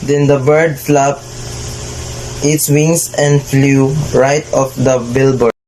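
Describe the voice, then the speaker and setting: A man's voice: mid-range voice, flat delivery, slow pace, warm tone, a little hiss or hum, rough recording. A man narrating a scripted tall tale for readers' theater.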